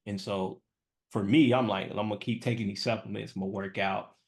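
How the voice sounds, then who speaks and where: A man's voice: fairly low pitch, steady tone, a little fast, vocal fry. A young man hosting a podcast, talking casually with many fillers. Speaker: podcast host. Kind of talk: casual interview talk.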